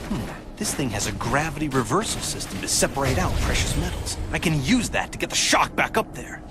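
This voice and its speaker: A male actor plays a young video game superhero with a lively, expressive delivery. He is determined and wry, reasoning out problems to himself while loud music plays behind him. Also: mid-range voice, a little fast, close to an everyday voice. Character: quick-witted young superhero man, determined and sarcastic.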